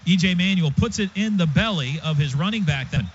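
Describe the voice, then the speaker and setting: A man's voice: sits high, lively intonation, fairly quick. A man doing live play-by-play radio commentary on football in clipped running description. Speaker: play-by-play announcer. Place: stadium radio booth. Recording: dull radio broadcast, stadium noise behind. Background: clear crowd and stadium noise.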